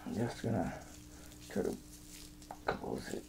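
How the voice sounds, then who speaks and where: A man playing a creepy, unhinged evil jester in an ASMR horror roleplay, muttering and rambling haltingly, often dropping to a whisper. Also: noisy background, natural voice. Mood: dithering, menacing.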